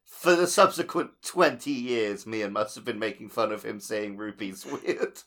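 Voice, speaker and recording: A man's voice: lowish voice, moderate pace, over a noisy crowd. A man co-hosting a podcast, chatting casually and telling little stories in a playful, jokey way. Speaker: podcast co-host. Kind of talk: casual chat, telling anecdotes.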